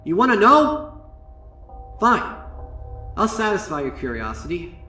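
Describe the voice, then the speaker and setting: A man's voice: high-pitched, sing-song, a little slow, pushed and bright, voice pushed up, full character voice. A man voicing a traumatized young female unicorn student in a horror story, stammering, pleading and bursting out in bitter indignation. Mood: desperate, bitter. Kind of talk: dramatic first-person horror monologue. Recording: roomy, distant voice over music.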